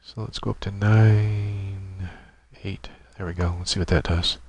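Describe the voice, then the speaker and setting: A man narrating an informal step-by-step software tutorial, methodically explaining and thinking aloud as he demonstrates. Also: sits low, steady tone, unhurried, very noisy.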